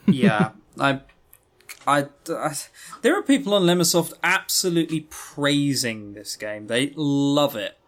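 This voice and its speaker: A man's voice: fairly high pitch, animated, unhurried, a bit bright. A man co-hosting a podcast in casual, unscripted chat, with wry, self-deprecating humour. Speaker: podcast co-host.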